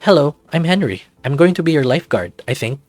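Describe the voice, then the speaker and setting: A man's voice: a little high, sing-song, fast, darkish tone, dry recording, faint music behind. A man voicing an eager, curious young lifeguard in a visual novel game, friendly and slightly unsure.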